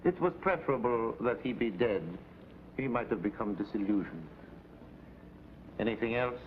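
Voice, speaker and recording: A man's voice: medium pitch, expressive, normal speed, natural voice. Male actor as smug paid killer, formal precise phrasing, haughty, 1950s television drama, dull audio with faint hiss. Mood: smug confession. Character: smug, calculating male hired assassin, haughty and bargaining. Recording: dull, noisy old TV soundtrack.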